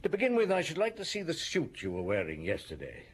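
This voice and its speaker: An actor playing a polite, persistent French police chief inspector in an audio drama, questioning in a measured way. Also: male voice, a little high, wildly swooping pitch, breathy edge, exaggerated voice. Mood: polite, probing.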